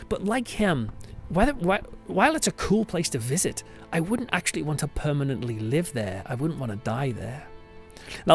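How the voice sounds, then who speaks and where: A British man hosting a podcast, giving a reflective monologue in a conversational, thoughtful and emphatic way. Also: medium pitch. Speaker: podcast host, church pastor. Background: music under the voice.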